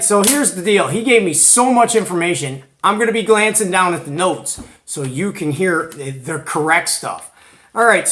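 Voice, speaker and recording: Higher-voiced man from Georgia, casual hobby host. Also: fairly bright tone. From Georgia, United States. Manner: slangy, informal, conversational. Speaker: host of a CB radio hobby show. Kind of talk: casual chatty show and tell to camera.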